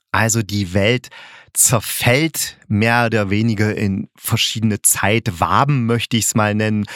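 A man's voice: fairly low pitch, fairly bright tone, dry recording, clean studio sound. A man giving a casual, unscripted review as a host, musing and arguing colloquially while he thinks aloud. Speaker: audio drama reviewer and host.

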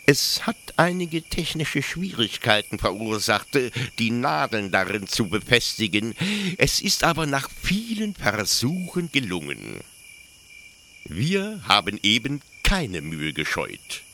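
An actor playing a zealous military officer who explains things eagerly, haltingly and pedantically in a German radio play. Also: male voice, medium pitch, huge pitch swings, pushed and bright, slight background noise, exaggerated voice. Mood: proud, instructive. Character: zealous male military officer proudly explaining his execution machine.

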